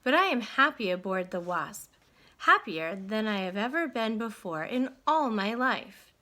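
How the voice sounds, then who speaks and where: A woman's voice: mid-range voice, very animated, a little slow, bright, forceful tone. A woman voicing a tiny male mouse sailor from a storybook, polite, earnest and plucky.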